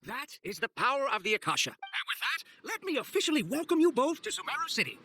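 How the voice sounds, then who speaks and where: A man's voice: very high-pitched, huge pitch swings, quick pace, pushed and bright, heavily acted. A man playing a courteous official in a video game, explaining things formally and politely to newly arrived travelers. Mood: formal, welcoming. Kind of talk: scripted video game dialogue.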